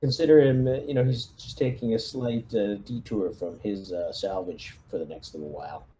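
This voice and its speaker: A man playing a tabletop game as squad leader, talking casually and haltingly, often clearing his throat. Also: on the low side, mellow, slight background noise, rough recording. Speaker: player leading the squad.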